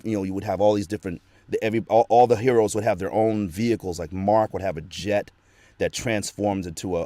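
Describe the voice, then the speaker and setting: Low-voiced man reminiscing in a dry sound. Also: darkish tone, no echo. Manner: rambling nostalgic storytelling, many restarts. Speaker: guest voice actor. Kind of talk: casual interview chat.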